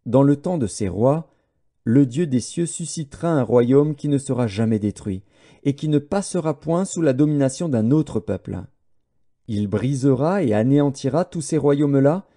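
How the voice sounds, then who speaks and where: A man narrating scripture as an audiobook in a solemn, measured way. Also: mid-range voice, expressive, dark tone.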